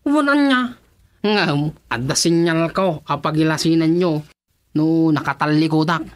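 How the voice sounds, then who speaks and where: A woman's voice: sits low, normal speed, lightly acted. A woman voicing a good-natured, naive young village cousin with an Ilocano accent in a radio comedy drama. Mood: explaining, protesting.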